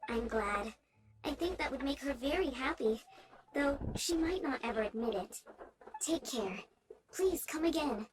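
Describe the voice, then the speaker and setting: A woman voicing a gentle, earnest young townswoman with polite, measured speech in a video game. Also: high voice, lively intonation, music in the background, low-quality recording. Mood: gently pleased. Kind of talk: scripted video game character acting.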